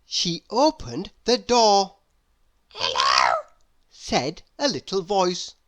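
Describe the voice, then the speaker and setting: A woman narrating a children's storybook aloud in a deliberate, expressive storytelling manner. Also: mid-range voice.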